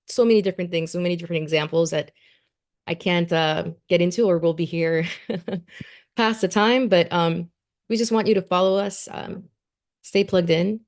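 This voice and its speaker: A woman with a low, gravelly voice talks a community group through her youth science program. She is earnest and loose, with plenty of ums and restarts, and the fry stays steady under her words. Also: normal speed. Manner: earnest, many fillers and restarts. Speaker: education program coordinator. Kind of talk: presentation at a community meeting.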